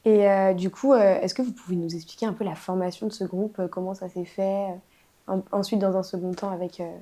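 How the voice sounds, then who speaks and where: A woman's voice: mid-range voice, fairly even intonation, a little fast, warm tone. A woman interviewing a band, asking hesitant questions and laughing often.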